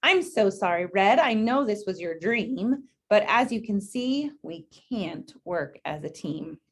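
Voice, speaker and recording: A woman's voice: medium pitch, expressive, a little slow. A woman voicing a male blue paint splatter from a storybook, childlike and earnest, keen but easily made glum. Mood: pouting.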